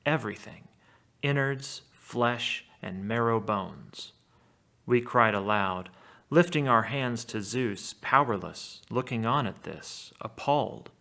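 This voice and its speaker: Male teacher, gravelly fry, average pitch, reading literature aloud with informal lesson asides. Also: slow pace, bright, forceful tone. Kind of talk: read-aloud storytelling with casual lesson commentary.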